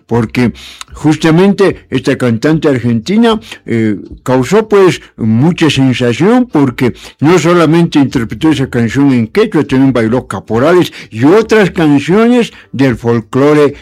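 A man's voice: medium pitch, expressive, unhurried, a little mellow. A man playing a courteous, earnest radio host with a formal, repetitive manner in a comic radio play. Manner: formal, repetitive, addresses listeners. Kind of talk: comic radio theatre hosting.

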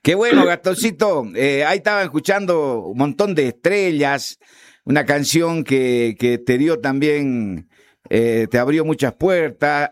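A man from Bolivia with a Bolivian accent, hosting an interview, friendly and enthusiastic. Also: medium pitch. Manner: friendly, enthusiastic, calls guest brother. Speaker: interviewer host. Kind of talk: interview conversation.

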